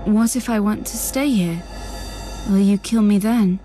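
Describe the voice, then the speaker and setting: A woman's voice: medium pitch, a little slow, warm tone, faint music behind, close to an everyday voice. A woman playing a wary, wounded young woman with dangerous powers in a science-fiction game, emotional and distrustful in delivery. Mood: hurt, challenging.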